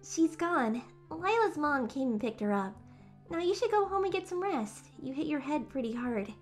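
A woman voicing a feisty, affectionate, excitable teenage superheroine in a fan comic dub, with expressive delivery. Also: high voice, moderate pace, soft background music. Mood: caring. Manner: expressive, exclamatory.

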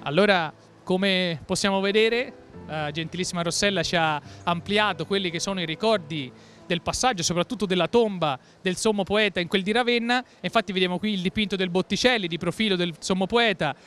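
A man in his thirties reporting from an exhibition stand, effusive and quick with lofty praise. His voice is high and smooth, and faint music plays behind him. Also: a bit bright. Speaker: costumed presenter. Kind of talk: enthusiastic event reporting. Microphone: handheld microphone.

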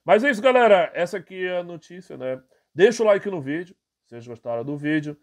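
A man's voice: high-pitched, expressive, fast, a little mellow. A man with a Brazilian Portuguese accent hosting his channel, reading entertainment news aloud and commenting casually with frequent fillers. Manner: casual, many fillers, reads articles aloud then comments. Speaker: channel host.

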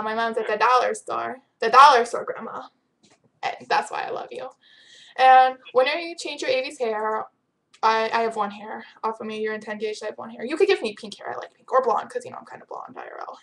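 A woman's voice: a little high, a little fast, vocal fry, a bit bright, poor audio. A teenage girl chatting casually on her webcam at home, reading out viewer questions with a giggly, teasing manner. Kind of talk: casual chat. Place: home room with bright window. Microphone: built-in webcam mic.

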